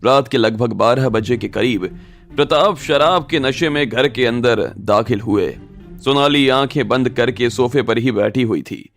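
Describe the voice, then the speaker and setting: A man's voice: medium pitch, unhurried, vocal fry, soft background music. A man narrating a scripted audio drama story.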